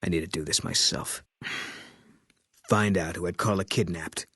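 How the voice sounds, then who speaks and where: An actor voicing a grim, bitter former army sniper in a video game, guarded and grieving, with terse, flat, brooding delivery. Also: male voice, low-pitched, measured pace, husky, dry recording, clean studio sound, heavily acted. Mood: grim, resolute.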